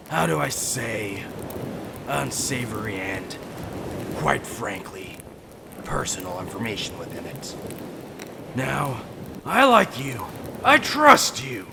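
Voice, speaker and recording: A man's voice: medium pitch, creaky, noisy background, exaggerated voice. A man playing a mad ship captain in an audio drama, theatrically jovial with menace underneath, pompous and falsely chummy.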